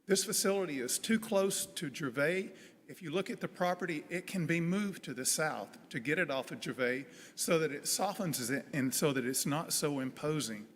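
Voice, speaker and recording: A man's voice: a little high, expressive, normal speed, fairly bright tone. A man giving public comment at a hearing as a local business owner. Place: large meeting room. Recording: echoey hall, some background noise.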